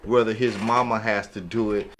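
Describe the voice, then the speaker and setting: Male podcast panelist, lowish voice, casual and slangy, conversational delivery with frequent check-in tags. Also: steady tone, normal speed, heavy background noise. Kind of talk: casual opinionated chat.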